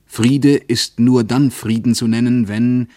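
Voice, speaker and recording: A man reciting scripted literary prose for a radio play with deliberate, weighty phrasing. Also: medium pitch, steady tone, warm tone, no echo, clean studio sound.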